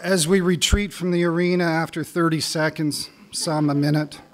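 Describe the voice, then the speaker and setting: A middle-aged Canadian man performing as a spoken word poet on stage, with a wry, deliberate delivery. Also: fairly high pitch, fairly even intonation. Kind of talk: live poetry recital with casual lead-in.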